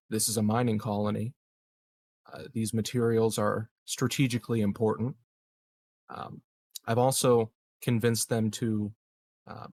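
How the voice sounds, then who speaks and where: A man playing an evasive, scheming leader of a space colony in a tabletop roleplay, speaking in a hesitant, hedging, measured way. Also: fairly low pitch, a little mellow. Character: smooth, evasive, scheming male colony leader in science fiction.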